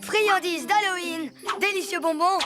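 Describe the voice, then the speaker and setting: Dim little cartoon puppy villain, high voice, earnest n dopey, music in back. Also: female voice, measured pace. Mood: forgetful, earnest. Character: scatterbrained, food-loving puppy-like mischief maker. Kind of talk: French animated series dubbing. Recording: music under the voice.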